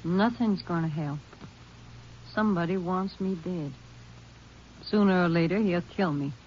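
Actress as a distraught wife, muffled vintage radio. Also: female voice, on the low side, measured pace, lightly acted. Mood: subdued, hopeless. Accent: American. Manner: strained, stubborn, emotional. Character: distraught, insistent wife convinced someone wants her dead. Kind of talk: 1950s radio drama acting. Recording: muffled old radio recording, faint noise.

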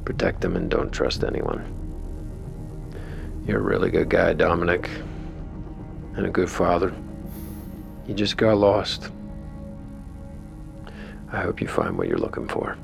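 A troubled tech founder in an intimate audio drama. His voice is low and deeply creaky, with lively swings in pitch. He confides haltingly and frankly, swearing as he goes. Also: over music, exaggerated voice. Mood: confiding, resolute. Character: troubled male tech founder, candid, leaving a posthumous message.

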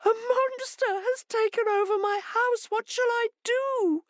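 A woman's voice: extremely high pitch, sing-song, a little slow, vocal fry, a bit bright, no echo, clean studio sound, pitched above the performer's own voice, exaggerated voice. A woman voicing a timid female hare in a storybook tale, plaintive, wailing and easily frightened.

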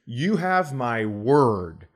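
A man's voice: medium pitch, very animated, very slow. A middle-aged American man hosting a comedy podcast, telling casual, profane stories and acting out the dialogue. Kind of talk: casual comic storytelling.

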